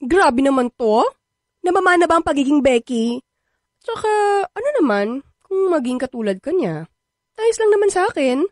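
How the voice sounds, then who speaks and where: A woman's voice: sits high, very animated, no room sound, clean studio sound. An actress playing a playful, persistent young woman in a radio drama, coaxing and teasing, with a Filipino accent. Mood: earnest. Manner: coaxing, teasing, drawn-out words. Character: playful, persistent, sweet-talking young woman wanting a baby.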